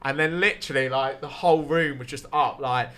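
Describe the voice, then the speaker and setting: A young man from Wales with a southern English accent, telling sweary, animated stand-up stories on a casual podcast. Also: mid-range voice, a little fast. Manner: sweary, animated anecdotes with acted-out quotes. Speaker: stand-up comedian guest.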